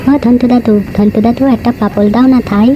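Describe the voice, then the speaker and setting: A woman's voice: a little high, level tone, fast, highs cut off, noisy background, lightly acted. A woman voicing a cheeky, whining small child with lisping baby talk in a comic cartoon. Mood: pleading.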